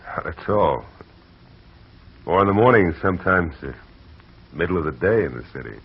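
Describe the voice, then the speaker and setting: An actor playing a world-weary private detective who narrates in a dry, laconic, hard-boiled way, in a 1940s radio drama on an old lo-fi broadcast. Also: male voice, deep voice, expressive, normal speed, slightly rough, heavily acted.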